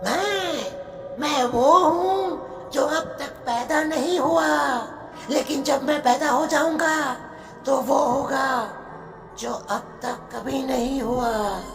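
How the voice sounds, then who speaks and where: Casting: evil ghost baby, threatening and extremely slow, distant echoing sound, horror cartoon tale. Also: female voice, high-pitched, vocal fry, pitched above the performer's own voice, heavily acted. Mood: menacing. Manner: slow, drawn-out, threatening delivery. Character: menacing unborn demon baby with an aged body. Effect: heavy echo. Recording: echoing, distant, over loud music.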